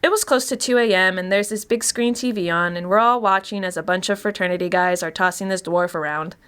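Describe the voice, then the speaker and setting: A woman's voice: mid-range voice, fairly quick, fairly bright tone, clean tone, close to an everyday voice. An actress playing a wry, chatty woman past thirty, telling her story as a confiding first-person monologue. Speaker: actor reading a short story.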